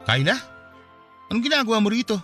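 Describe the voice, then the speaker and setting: A man's voice: high-pitched, sing-song, quick pace, sharp tone, soft background music, exaggerated voice. A man playing a cold, defensive married lover in a radio drama, arguing and shifting blame. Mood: cold, mocking. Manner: argumentative, blaming. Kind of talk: Filipino radio drama acting.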